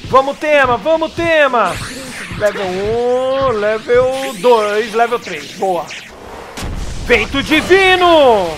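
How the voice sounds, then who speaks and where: Man, Brazilian Portuguese accent, hoarse from a cold, excited gameplay commentary, very high voice. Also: slow pace. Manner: excited, calls out special moves. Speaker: gaming YouTuber. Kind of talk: let's play commentary. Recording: game music behind. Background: game music.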